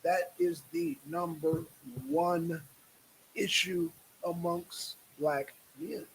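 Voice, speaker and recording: A man's voice: on the high side, level tone, very slow, slight background noise, poor audio. A man hosting a casual live stream, speaking in a repetitive, emphatic and exasperated way.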